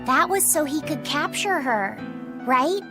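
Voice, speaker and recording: A woman voicing an earnest, curious young boy in game dialogue, speaking softly and a little hesitantly. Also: high-pitched, lively intonation, unhurried, over music. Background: game score.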